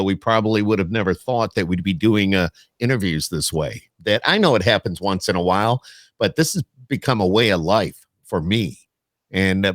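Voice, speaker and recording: A man's voice: lowish voice, no room sound, studio quality. A man hosting a casual remote interview, talking in an informal, rambling and self-correcting way. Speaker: podcast host, radio interviewer.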